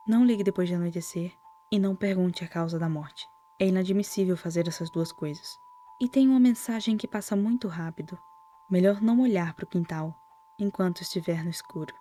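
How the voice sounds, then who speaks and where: A Brazilian woman narrating a scripted horror commentary in a soft-spoken way, with lightly wry asides. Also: on the low side. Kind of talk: whispered-style scripted narration, storytelling commentary. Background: music.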